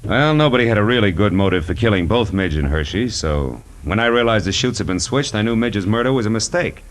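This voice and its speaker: A man's voice: lowish voice, animated, brisk, natural voice. A man playing a wry, tough private detective, acting and narrating in 1940s radio noir style. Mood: sardonic. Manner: sardonic, hard-boiled. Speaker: lead actor in radio detective drama. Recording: vintage 1940s radio broadcast recording.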